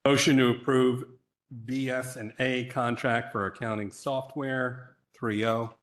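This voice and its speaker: Casting: mid-pitched man reading council motions, formal and flat. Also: unhurried.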